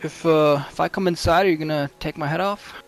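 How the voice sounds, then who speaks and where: Man in his 20s, high-pitched, excitable, rambling player. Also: a little fast.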